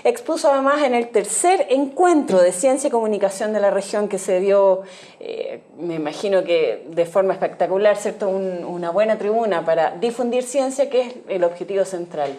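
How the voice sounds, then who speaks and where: Chilean newswoman, studio anchor, brisk, dark warm tone. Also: mid-range voice. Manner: brisk, prompts with frequent backchannel. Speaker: news anchor. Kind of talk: TV news interview.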